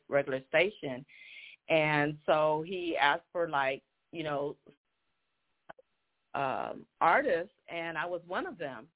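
Laughing woman on a tinny phone line. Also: low voice. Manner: laughs often, halting with many ums. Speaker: guest singer. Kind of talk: casual phone interview.

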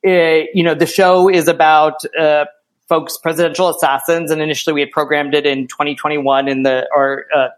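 An American man speaking thoughtfully as an interview guest, often checking in with a quick "right?". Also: a little high, flat delivery. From small town in Pennsylvania, United States. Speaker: interview guest, theatre artistic director. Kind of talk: conversational interview.

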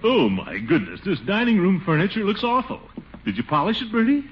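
An actor playing a pompous, portly middle-aged bachelor in a 1940s radio comedy, blustering and hemming and hawing, with a booming chuckle. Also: male voice, very animated, brisk. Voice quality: booming, sly chuckling laugh. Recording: dull noisy 1940s radio recording.

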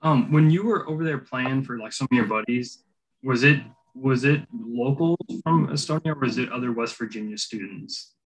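A man's voice: medium pitch, normal speed, poor audio. A man interviewing a guest, chatting casually and asking curious follow-up questions.

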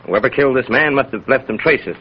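A man's voice: medium pitch, animated, fast, husky. An actor playing a brusque, suspicious male police officer, curt and commanding, in 1930s radio style. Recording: muffled 1930s radio recording.

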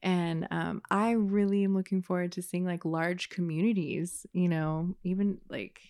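Chatty woman with a lower voice. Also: fairly even intonation, unhurried, darkish tone, clean tone. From United States. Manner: chatty, full of "like" fillers. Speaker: podcast guest. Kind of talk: casual podcast conversation.

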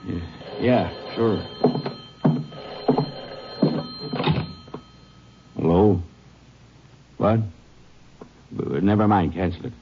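An actor playing a curt, evasive, cornered armed suspect in an old radio western. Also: male voice, lowish voice, takes it slowly. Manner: gruff, evasive. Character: curt, cornered, armed male rural Texas murder suspect. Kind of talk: 1940s-50s radio western acting. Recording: noisy, muffled old radio recording.

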